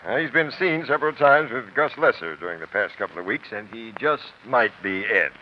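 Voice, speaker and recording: An American man playing a brisk, shrewd police captain in 1940s radio drama, clipped and commanding. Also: mid-range voice, lively intonation, natural voice. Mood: businesslike. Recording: muffled, noisy old radio broadcast.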